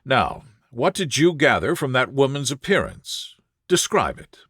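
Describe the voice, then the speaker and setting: A man's voice: mid-range voice, big pitch swings, measured pace, fairly bright tone, breathy edge, no echo, studio quality, exaggerated voice. A man voicing a composed, authoritative male detective with a precise, assured, lecturing manner in an audiobook. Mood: analytical. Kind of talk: audiobook character dialogue.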